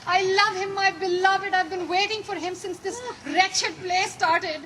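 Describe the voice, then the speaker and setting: A woman's voice: very high-pitched, steady tone, unhurried, a bit bright. A woman performing a comedic dramatic reading, theatrical and gushing. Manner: theatrical, gushing, sing-song delivery. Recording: noisy live room recording.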